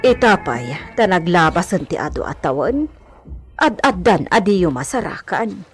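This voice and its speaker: A woman's voice: lowish voice, sing-song, measured pace, soft background music. A woman playing a respectful, inquisitive household servant in a radio drama, speaking expressively and deferentially. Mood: advising.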